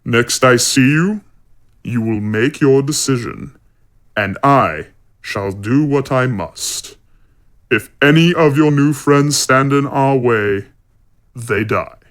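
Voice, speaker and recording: A man playing a stern, self-righteous zealot warrior in an audio fantasy drama, speaking in a measured, formal, menacing way. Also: mid-range voice, lightly acted. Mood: coldly threatening.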